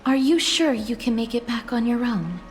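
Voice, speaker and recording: Casting: actress as polite, earnest young woman fighter in a fantasy game, slightly high voice, formal and gentle. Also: animated, normal speed, soft background music, natural voice. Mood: concerned. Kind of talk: dramatic video game acting.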